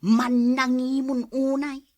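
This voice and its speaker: A woman's voice: sits high, level tone, slow pace, darkish tone, no room sound, studio quality. A woman playing a long-suffering, exasperated wife and mother in a radio drama, with a complaining, weary manner. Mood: weary, resigned.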